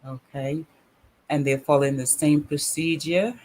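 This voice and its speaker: A man hosting an interview who works in insurance and mortgages, asking questions hesitantly with many pauses. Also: fairly high pitch, steady tone, darkish tone, rough recording. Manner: hesitant, encouraging backchannels. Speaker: radio host, insurance and mortgage professional. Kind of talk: casual interview.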